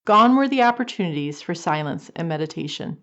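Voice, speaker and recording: A woman's voice: lowish voice, animated, fairly quick, a bit bright. A woman reading scripted dramatic narration as the narrator of an old time radio show. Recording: dull lo-fi sound.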